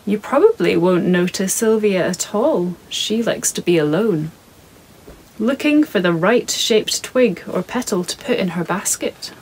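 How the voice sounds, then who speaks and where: A woman in her thirties reading her picture book aloud to children in a soft, calm, measured way, recorded in her home studio. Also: mid-range voice, a little hiss or hum, rough recording.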